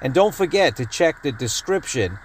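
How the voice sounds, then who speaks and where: A man's voice: fairly high pitch, moderate pace, rough recording. A man hosting a hobby video, talking through a product in an informal, matter-of-fact way. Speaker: host of a radio-hobby video channel. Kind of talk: casual product announcement and feature rundown. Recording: clear steady background noise.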